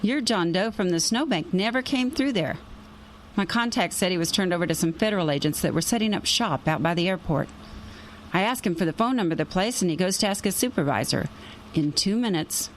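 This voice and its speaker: A woman's voice: lowish voice, animated, a little fast, slight background noise, close to an everyday voice, rough recording. Actress as a sly, nosy woman investigator. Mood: coaxing. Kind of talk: radio drama acting.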